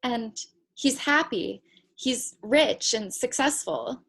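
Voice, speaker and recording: A woman's voice: a little high, lively intonation, slow pace, creaky, fairly bright tone, exaggerated voice. A woman playing a bewildered, skeptical young woman meeting her future child in a table read, giving short, incredulous replies. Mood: wondering. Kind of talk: virtual table read of a play. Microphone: video call.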